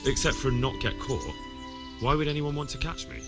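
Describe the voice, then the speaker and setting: An actor playing an earnest, honest young village lad in a medieval video game, speaking plainly and with principle. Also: male voice, mid-range voice, steady tone, brisk, music in the background, lightly acted. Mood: polite, willing. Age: young adult. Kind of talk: video game dialogue acting.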